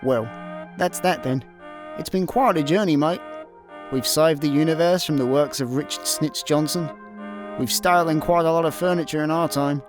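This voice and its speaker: A man's voice: on the high side, moderate pace, natural voice. Man playing a resigned slacker over music. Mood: resigned, wistful. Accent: Scottish. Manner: deadpan. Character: dry, resigned male space layabout. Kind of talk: comic radio play acting. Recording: music behind the voice.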